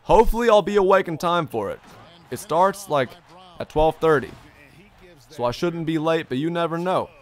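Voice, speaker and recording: A man's voice: on the high side, animated, moderate pace. A young man live-streaming a basketball video game, talking upbeat and repeating himself as he reads his chat, his voice a little strained from long streaming. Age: young adult. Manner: upbeat, chatty, repeats his own phrases. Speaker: gaming streamer. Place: bedroom gaming setup, indoors.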